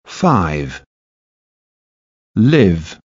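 A man reading short scripted sentences for a language listening test, deliberate and clearly enunciated. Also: sits low, sing-song, no echo, muffled recording.

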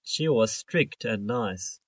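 A man reading scripted English lesson sentences for a listening test, in a measured, carefully enunciated way. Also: lowish voice, animated, no room sound, studio quality. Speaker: exam listening test reader.

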